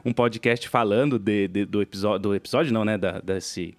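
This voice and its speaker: A man's voice: on the low side, normal speed, dry recording. A Brazilian man co-hosting a podcast in casual, conversational style. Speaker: podcast co-host. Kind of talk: casual chat.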